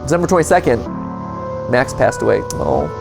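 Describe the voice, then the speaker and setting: A man's voice: medium pitch, wildly swooping pitch, a little fast, gravelly. Guy doing a casual reaction, reads stuff out, sarcastic jokes, voice jumps all over, music playing behind him. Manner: sarcastic joking asides, exclamations. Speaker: reaction video host. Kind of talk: casual reaction commentary, reading on-screen text aloud.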